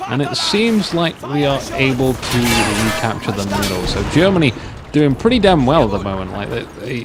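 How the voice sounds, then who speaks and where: A man giving casual, bantering live commentary on a video game match. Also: mid-range voice. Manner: joking, bantering. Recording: clear vehicle and game battle noise. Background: war game sounds, vehicles.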